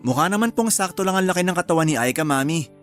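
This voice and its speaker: A man with a Filipino accent playing a calm, reassuring young husband in a radio drama. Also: sits high, fast talker, faint music behind. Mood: easygoing. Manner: calm, conciliatory.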